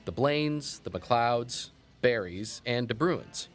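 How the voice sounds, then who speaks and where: Folksy, wry small-town historian. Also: male voice, medium pitch, expressive, takes it slowly, soft background music. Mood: enthusiastic, digressive. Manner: folksy, wry, digressive, with thoughtful asides. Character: chatty small-town male historian who relishes local lore. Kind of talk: conversational storytelling in an audio drama.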